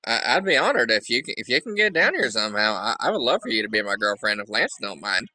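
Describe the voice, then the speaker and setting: A man's voice: lowish voice, very animated, quick pace, sharp tone, no room sound, poor audio. A man in his twenties in a casual, flirty chat, playful and stammering as he repeats himself. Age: 20s.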